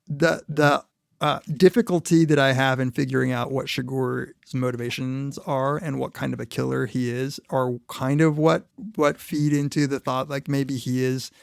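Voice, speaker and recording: Podcast co-host, male, animated talker, bit of fry, dry studio sound. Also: mid-range voice, moderate pace, dry recording. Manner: animated, laughs often, recounts scenes. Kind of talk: casual film discussion.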